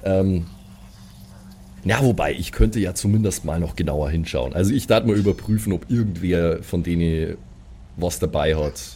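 A man's voice: deep voice, moderate pace, warm tone, slight background noise. A man improvising casually as a player in a tabletop role-playing game, hesitant and resigned in manner. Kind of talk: casual improvised in-character play.

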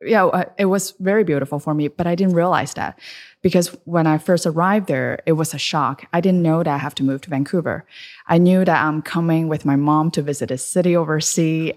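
Low-pitched woman with a Chinese accent. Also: darkish tone. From Shenzhen, southern China. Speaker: interview guest, freelance wine journalist. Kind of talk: casual interview chat.

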